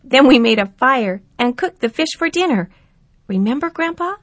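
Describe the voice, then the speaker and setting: A woman voicing an excited, earnest young boy in a storybook reading, his lines pitched high and loud. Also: normal speed, no echo. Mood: eager. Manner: high and eager, loud exclamations.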